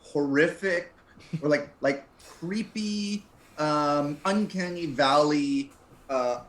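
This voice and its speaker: A man chatting casually as a panel guest, with halting, hesitant phrasing. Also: fairly high pitch, a bit bright. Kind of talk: casual chat. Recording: echoey room with faint noise.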